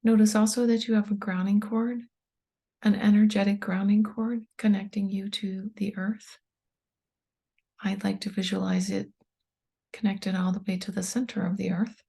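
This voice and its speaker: A woman guides a meditation in a breathy, mellow voice. Her delivery is soft and calm, staying on an even, gentle line with little rise or fall. She leaves unhurried pauses between short instructions. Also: medium pitch. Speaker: meditation guide, tapping coach.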